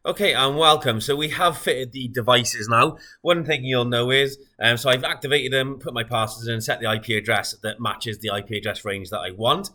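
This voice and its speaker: A man walks through a product step by step. He speaks at a medium pitch in an informal, matter-of-fact manner, explaining things plainly as a demonstrator would. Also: a bit bright, slight background noise.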